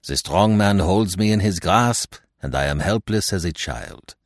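A man voicing a mild, unruffled older French-speaking detective with a measured, courteous manner in an audiobook. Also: medium pitch, wildly swooping pitch, creaky, slightly rough, no room sound, studio quality, pitched above the performer's own voice, full character voice. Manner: measured, mildly amused, courteous. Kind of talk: audiobook character dialogue.

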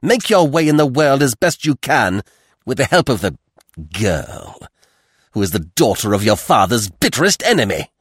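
A stern older mother as played by a male narrator in a detective audiobook. The voice is lifted high and rings with cold command. Each phrase is slow, declamatory and dramatically inflected, close and dry. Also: very animated, breathy edge, dry recording. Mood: furious, renouncing.

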